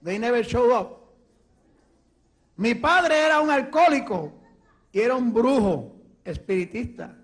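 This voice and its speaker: A man with a Puerto Rican accent, an evangelist preaching and telling his testimony with fervor that rises to shouts. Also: very high-pitched, lively intonation, measured pace, pauses often. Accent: Puerto Rican Spanish. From Puerto Rico. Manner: fervent, rising to shouts.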